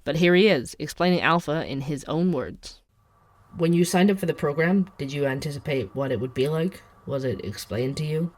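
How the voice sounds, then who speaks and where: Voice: medium pitch, normal speed, no echo, lightly acted. An actor playing a persistent investigative podcast reporter in an audio drama, narrating in a dry, wry, conversational way. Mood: wry, matter-of-fact.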